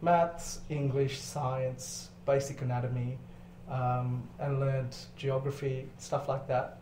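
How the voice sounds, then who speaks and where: A man speaks as an interview guest in a relaxed, explanatory way with the odd swear word. His voice sits at a middling pitch. The recording is echoey, with some faint noise behind it. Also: takes it slowly. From born in Zambia, lived in Botswana as a child, then grew up in Australia. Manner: explanatory, articulate, swears casually. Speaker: podcast guest. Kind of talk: casual interview storytelling. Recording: roomy echo, clear background noise.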